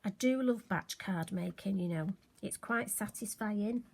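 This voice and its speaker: A woman, slightly hoarse and occasionally croaky, chatting through a crafting tutorial with friendly, self-deprecating asides. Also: medium pitch, measured pace. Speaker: card-making demonstrator.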